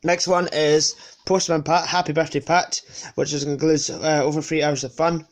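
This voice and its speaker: A man's voice: on the high side, level tone, normal speed, a little hiss or hum. A man with a sniffly cold casually presenting his collection unscripted, hesitating and correcting himself as he goes. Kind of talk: casual unscripted show-and-tell.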